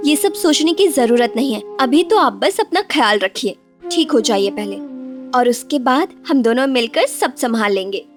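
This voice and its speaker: A woman voicing a devoted, sensible young village wife in an animated moral story, with a caring, expressive and dramatic manner. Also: fairly high pitch, moderate pace, soft background music, close to an everyday voice. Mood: reassuring.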